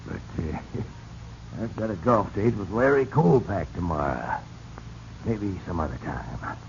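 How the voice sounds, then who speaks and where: A man's voice: deep voice, animated, unhurried, creaky, a little mellow, full character voice. An actor playing a hearty, boastful older businessman in a 1940s radio drama, with a jovial, avuncular manner. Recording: dull, noisy 1940s radio recording.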